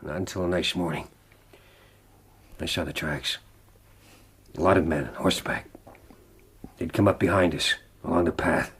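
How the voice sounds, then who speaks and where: An actor playing a desperate, haunted frontiersman in a radio drama, grave and weary, with a gravelly, husky voice. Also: low voice, fairly even intonation, normal speed, long pauses, exaggerated voice. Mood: bleak, grieving. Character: haunted, desperate male frontiersman recounting his lost love. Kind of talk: radio drama acting and narration.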